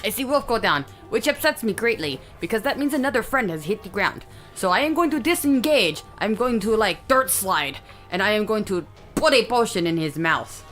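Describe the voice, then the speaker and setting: A woman's voice: medium pitch, normal speed. A woman playing a tabletop roleplaying game, talking casually and playfully with dramatic flair. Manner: dramatic, animated. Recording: music behind the voice. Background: music.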